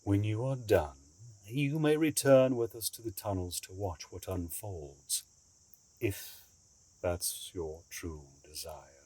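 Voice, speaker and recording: A man playing a grave, secretive schemer in an audio drama, speaking in a measured, cryptic, formal way. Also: on the low side, dark tone, breathy edge, no room sound. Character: grave, secretive male schemer, an escaped captive bargaining.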